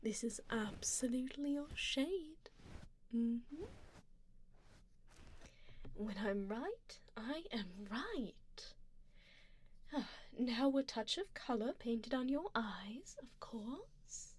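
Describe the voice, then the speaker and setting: A high-voiced, refined vampire duchess, played by a woman, dotes possessively on her listener in an ASMR roleplay. She speaks softly and slowly, often near a whisper, with genteel condescension and quiet command. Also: animated, heavily acted. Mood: doting, self-assured. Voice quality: soft, breathy whispered passages. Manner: genteel, measured, formal, gently commanding. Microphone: close binaural microphone, dry.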